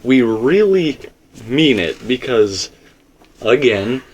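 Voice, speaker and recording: A man's voice: medium pitch, big pitch swings, slow pace, slight background noise. A man hosting a casual theory discussion, talking in a rambling, emphatic way. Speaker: host.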